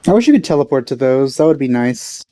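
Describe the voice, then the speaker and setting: A woman's voice: a bass voice, big pitch swings, fast talker, mellow, no room sound. A woman hosting a casual let's play, musing aloud in an offhand way as she goes. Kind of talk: casual gameplay commentary.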